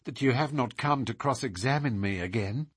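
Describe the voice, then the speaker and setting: A man's voice: medium pitch, normal speed, husky, no echo, clean studio sound, lightly acted. A man voicing a proud, guarded young lady, reserved and defensive, in an audiobook story. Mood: wary.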